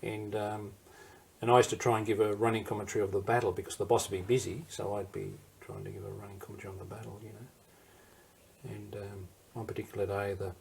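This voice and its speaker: A man's voice: on the low side, little pitch movement, brisk, creaky, darkish tone, slight background noise. An older man with an Australian accent telling war memories in an interview, in a rambling, anecdotal way that wanders off and circles back. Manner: rambling anecdotal, digresses and restarts. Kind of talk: recorded interview storytelling.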